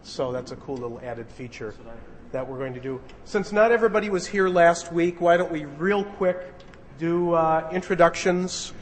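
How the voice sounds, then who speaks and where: A man's voice: a little high, moderate pace. A man casually giving announcements and joking as he leads a rehearsal, recorded in a noisy, echoey room. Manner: informal, joking. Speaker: director leading a radio play rehearsal.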